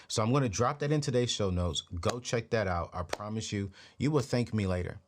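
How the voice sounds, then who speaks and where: A man in his late thirties hosting a personal finance show, giving a motivational talk with a preachy, energetic delivery. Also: lowish voice, fairly quick, slight background noise. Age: 30s. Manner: preachy, energetic, repeats phrases. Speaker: podcast host.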